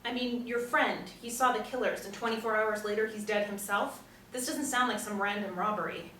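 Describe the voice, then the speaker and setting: An actress reads an impatient, irritated woman in a TV drama script. The voice is medium-pitched and blunt, heard roomy and away from the mic over faint noise. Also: fairly even intonation, brisk, fairly bright tone, lightly acted. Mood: suspicious. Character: impatient, exasperated woman drawn into a mystery. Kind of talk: table-read drama. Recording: echoey room, distant mic, faint noise.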